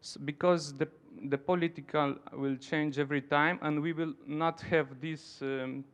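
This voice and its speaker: A man's voice: fairly high pitch, fairly even intonation, unhurried, a bit bright, smooth. A man from Romania with a Romanian accent, speaking non-native English in an informal conference talk, searching for his words as he goes. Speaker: dance centre founder, conference participant.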